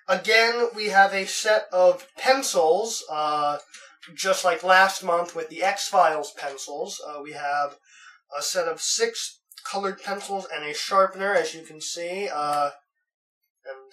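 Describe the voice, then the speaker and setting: Young guy unboxing in his bedroom, a bit stuffy from a cold, higher voice, sits back from the mic. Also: a bit bright, distant mic, slight background noise. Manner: rambling, many "uh"s and restarts. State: has a cold. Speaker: unboxing vlogger. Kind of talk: casual unboxing chat. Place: bedroom at home.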